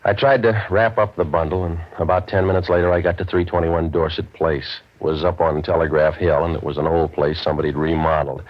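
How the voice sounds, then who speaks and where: An American man playing a cynical, wisecracking hardboiled waterfront detective in an old radio drama, with a deadpan, sardonic delivery. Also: low-pitched, a little fast, hardly any pauses. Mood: wry, world-weary. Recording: muffled old radio broadcast recording.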